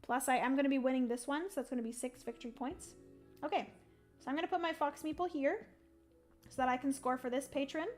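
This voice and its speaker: A young woman on a livestream, talking through her moves in a solo board game and chatting with viewers, her voice going a little hoarse. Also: high-pitched, fast, soft background music. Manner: chatty, thinks aloud, greets chat viewers. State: losing her voice. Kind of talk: casual livestream play-by-play.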